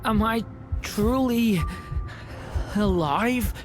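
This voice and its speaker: A woman voicing a gentle young female created being in a video game, speaking softly and haltingly as she searches for herself. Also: a little high, music in the background. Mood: faltering. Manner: soft, halting, wondering.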